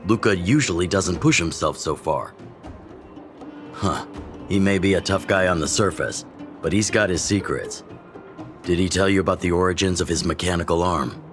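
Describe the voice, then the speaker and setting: Deep-voiced, fatherly fighting mentor, played by an actor. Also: lightly acted. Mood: fond, musing. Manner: unhurried, reminiscing, fatherly. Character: older male fighting mentor, fond, easygoing and reflective. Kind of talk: video game voice acting, storytelling. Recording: faint music behind the voice.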